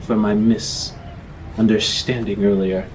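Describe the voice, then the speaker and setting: A man voicing a gruff male alien crime boss in a tabletop game, speaking in a stiff, deliberate, apologetic way. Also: fairly low pitch, level tone, darkish tone, slight background noise. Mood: stiffly apologetic. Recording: music playing under the voice.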